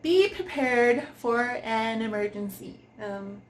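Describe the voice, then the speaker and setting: A woman with a fairly high voice talks through a product review in a casual, unscripted way. She is informal and giggly, hesitating as she hunts for words. There is some background noise on the amateur recording. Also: lively intonation, takes it slowly.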